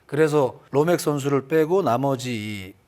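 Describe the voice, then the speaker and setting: A man giving unscripted baseball analysis on a sports panel, explaining his reasoning in a measured way. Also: mid-range voice, darkish tone.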